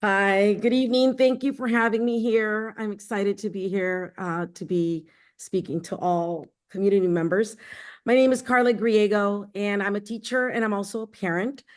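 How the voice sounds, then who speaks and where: Middle-aged woman, mid-pitched campaign speaker. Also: steady tone, normal speed. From born in El Salvador, raised in Los Angeles. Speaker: school board candidate, teacher and union leader. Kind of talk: campaign introduction speech.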